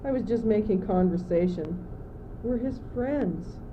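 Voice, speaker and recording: A woman's voice: mid-range voice, lively intonation, unhurried, natural voice. A teenage female student actor playing an anxious, naive young courtier in an amateur radio play, with an earnest, stagey delivery. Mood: uneasy. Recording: dull old tape, loud background noise.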